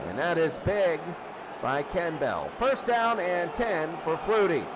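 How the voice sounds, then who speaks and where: A man doing live play-by-play football commentary, excitable and rising to shouts on big plays. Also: a little high, slow. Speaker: play-by-play announcer. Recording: loud crowd and music behind. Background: crowd noise and music.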